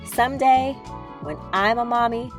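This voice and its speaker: Casting: woman as eager young big sister for a children's storybook, expressive, childlike phrasing, music underneath. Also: fairly high pitch, moderate pace, pitched above the performer's own voice, lightly acted. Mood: happy, proud. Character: eager little girl, a loving big sister narrating. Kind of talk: children's storybook read-aloud.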